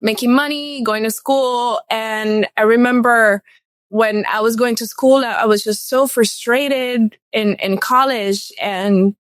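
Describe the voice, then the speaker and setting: A woman in her thirties from Cuba speaks slowly as a podcast guest. She is candid and casual and swears freely. Her voice sounds dry and close. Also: on the high side, steady tone, no room sound. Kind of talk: personal storytelling.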